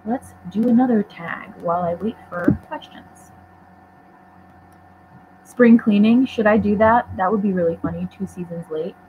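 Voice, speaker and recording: A woman's voice: mid-range voice, normal speed. Young woman vlogger born in Poland, rambling and self-deprecating, heard through tinny phone-like audio. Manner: chatty and rambling, many "um" and "like", self-deprecating asides. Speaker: book vlogger. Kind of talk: casual livestream chat, answering viewer questions. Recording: tinny phone-like livestream audio, faint noise.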